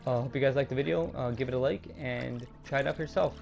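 A young man narrating a gameplay walkthrough casually, hesitating a lot with ums and restarts, his throat a little raspy. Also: medium pitch, fairly even intonation, a little mellow, soft background music. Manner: halting, many ums and restarts. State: slightly raspy throat, clearing it. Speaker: gaming YouTuber.

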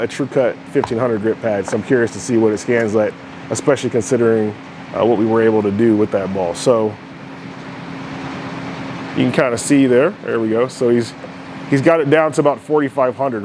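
A man's voice: medium pitch, fairly quick, background noise. Man explaining bowling ball motion. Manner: explanatory, many fillers and "right?" asides. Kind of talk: casual product demo commentary. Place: bowling alley.

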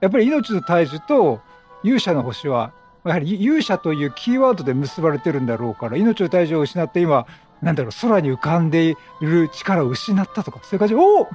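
High-pitched, animated male game commentator. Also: brisk. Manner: excitable, repeats phrases, reacts aloud. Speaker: game streamer. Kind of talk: casual, chatty game commentary. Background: game music.

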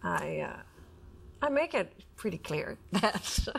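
A woman's voice: on the low side, very animated, vocal fry, fairly bright tone, husky. A middle-aged woman speaking candidly and hesitantly about personal matters as an interview guest. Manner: hesitant, halting. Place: indoor studio living-room set. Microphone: lapel mic.

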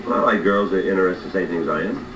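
Fast, low-voiced brash cop played by a man. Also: fairly even intonation, recorded from a distance, noisy background, natural voice. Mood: cocky, cynical. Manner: blunt, advising. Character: brash, crude, cynical male cop, worldly senior partner. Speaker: actor playing a police patrolman. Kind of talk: film comedy dialogue. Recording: echoey TV playback from afar, music behind.

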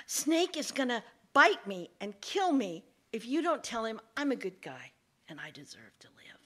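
Woman as an anxious pleading man, high-voiced. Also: big pitch swings, normal speed, pushed and bright, exaggerated voice. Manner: earnest, coaxing, pleading. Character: anxious, trusting man pleading for his life. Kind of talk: animated fable storytelling.

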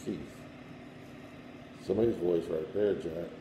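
Low-voiced middle-aged man, echoey room. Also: steady tone, unhurried. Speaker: paranormal investigator, show host. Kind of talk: unscripted talk, questioning spirits aloud. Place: bare indoor room. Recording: echoey room with light background hum.

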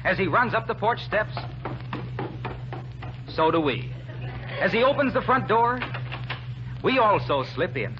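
A man's voice: high voice, animated, measured pace, long pauses, background noise. An American man announcing in scripted 1940s radio narration. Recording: muffled 1940s radio broadcast, music behind.

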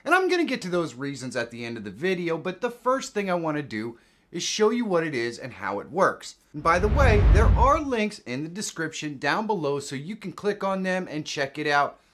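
Animated middle-aged man explaining software. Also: on the high side, normal speed. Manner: conversational, explanatory. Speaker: host of a tech tutorial channel. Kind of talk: casual software tutorial narration.

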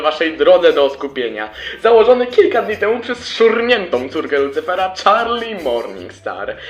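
A man voicing a smarmy, mocking demon pitchman in an animated fan dub, announcing theatrically like an old-time advertisement. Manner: theatrical, sardonic. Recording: echoey, music behind.

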